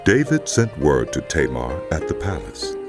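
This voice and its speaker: Very deep, gravelly male Bible narrator. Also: very animated, moderate pace. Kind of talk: dramatized scripted Bible narration. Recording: loud music under the voice.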